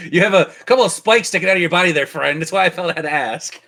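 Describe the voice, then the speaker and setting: Bright-voiced man running a tabletop game. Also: on the high side, fast talker. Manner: vivid, playful descriptions. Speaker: game master. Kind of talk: narrating a tabletop fantasy game.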